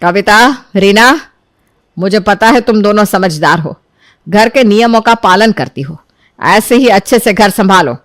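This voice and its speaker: A woman's voice: medium pitch, lively intonation, unhurried, no room sound, studio quality, lightly acted. A woman playing a strict mother-in-law. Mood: instructive. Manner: firm, commanding. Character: strict middle-aged mother-in-law, stern head of house.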